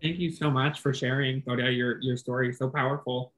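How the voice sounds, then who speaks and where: A man hosts an online artist talk over a video call. He speaks gently and encouragingly at a middle pitch, thanking each artist and handing the floor to the next. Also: fairly even intonation, a little fast, low-quality recording. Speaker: event host. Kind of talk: hosting a virtual artist talk.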